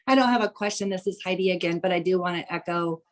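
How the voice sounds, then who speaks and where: Female charity officer on a group call; low register, measured, explanatory. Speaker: treasurer of a charity. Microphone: online conference call.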